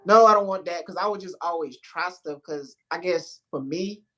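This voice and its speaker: A host talks through personal growth in an easy, unhurried way, often circling back to find the words. They act out their inner voice in short, emphatic bursts. The pitch sits in the middle range. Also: pushed and bright. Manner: loose, searching speech, acts out inner dialogue. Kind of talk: casual conversation.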